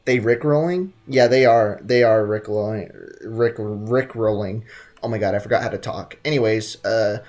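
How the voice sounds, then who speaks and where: A man's voice: fairly low pitch, normal speed, a little mellow. A young adult man giving casual, slangy live gameplay commentary with jokey asides. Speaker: game streamer. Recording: game music behind.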